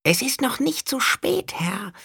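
A man voicing a scheming male dwarf in an audiobook, with a squeaky, gravelly falsetto and a sly, wheedling manner. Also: very animated, measured pace, sharp tone, no room sound, clean studio sound, heavily acted. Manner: wheedling, unruffled.

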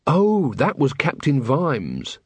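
A man voicing an honest, literal-minded young constable in an audiobook reading, calm and earnest. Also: fairly high pitch, takes it slowly, breathy edge, no echo, heavily acted. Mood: plain.

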